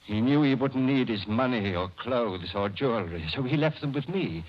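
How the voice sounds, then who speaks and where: An actor playing a nervous madman murderer who feigns genteel calm, in theatrical old radio drama style with overpolite, hesitant speech. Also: mid-range voice, normal speed, close to an everyday voice. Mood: smug, guarded. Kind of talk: 1940s radio acting, suspense monologue and dialogue. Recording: noisy, muffled old radio recording.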